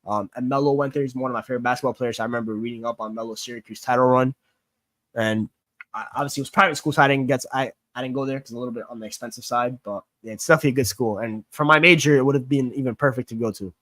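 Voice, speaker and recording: A man's voice: mid-range voice, steady tone, rapid-fire, a bit bright, no room sound. Young man with a Queens accent. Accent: Queens New York. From born in Greece, raised in New York. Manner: chatty, rambling, many fillers and restarts. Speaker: football streamer answering chat. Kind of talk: casual livestream Q&A.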